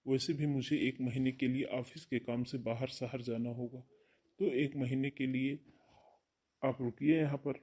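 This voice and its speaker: A man's voice: mid-range voice, fairly even intonation, normal speed, a little mellow, slightly rough, natural voice. A man playing a caring, busy elder brother and husband in a Hindi cartoon moral story. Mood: calm, reassuring.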